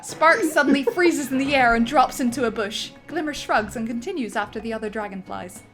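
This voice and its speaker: A woman narrating the stage directions of a script reading in a lively way. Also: on the high side, fairly quick, faint music behind. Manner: lively, breaking into laughter.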